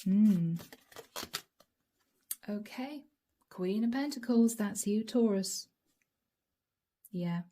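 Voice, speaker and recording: A middle-aged woman giving a channeled tarot reading. She speaks softly and intimately, often dropping to a whisper, and her voice is a little hoarse from a long session. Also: medium pitch, very slow, long pauses. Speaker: tarot reader.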